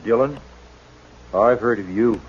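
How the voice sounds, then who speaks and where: An actor playing a sick, bitter prairie homesteader with a wounded leg in a 1950s radio drama, speaking in a weak, pained rural drawl. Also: male voice, mid-range voice, measured pace, natural voice. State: ill and weak. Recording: dull old radio broadcast with faint hiss.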